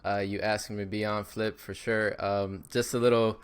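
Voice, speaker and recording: A man's voice: on the low side, flat delivery, moderate pace, clear, resonant tone. A man in his thirties from Miami, chatting as an upbeat podcast guest with lots of fillers. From Miami, Florida. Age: 30s. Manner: upbeat, with frequent fillers and repeats. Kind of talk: casual interview chat.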